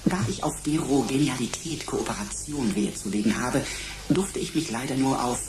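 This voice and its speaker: A man's voice: medium pitch, normal speed, background noise, close to an everyday voice. A man playing a mocking computer sidekick in a radio play, with pompous, ornate, old-fashioned diction.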